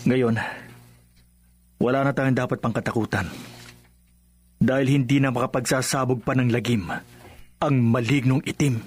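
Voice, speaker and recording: An actor playing a devout, protective young husband in a horror radio drama, earnest and melodramatic. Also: male voice, medium pitch, fairly even intonation, normal speed, pauses often, a little mellow, slightly rough, faint music behind, lightly acted. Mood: solemn relief.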